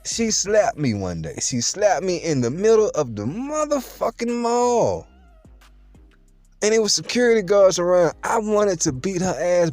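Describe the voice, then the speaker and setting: A young man telling a true story straight to the camera in a casual, slangy, animated way. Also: fairly high pitch, no echo.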